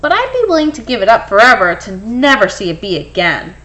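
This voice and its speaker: A woman's voice: a little high, huge pitch swings, brisk, a bit bright, rough recording. A woman voicing a stubborn, fearful bee-hater from a children's picture book, protesting and doubtful. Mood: exasperated.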